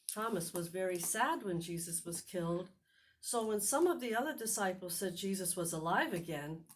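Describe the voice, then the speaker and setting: A woman hosting a children's Bible storytime, reading aloud in a measured, expressive storytelling manner. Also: fairly low pitch. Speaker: host and story reader. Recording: clearly noisy recording with clicks.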